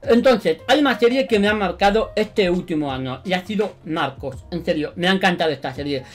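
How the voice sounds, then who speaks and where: High-voiced young Spanish gamer. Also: male voice, brisk, soft background music. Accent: Spanish from Spain. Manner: animated, slangy, repeats himself. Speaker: gaming YouTuber. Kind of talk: excited gameplay commentary. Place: bedroom studio indoors.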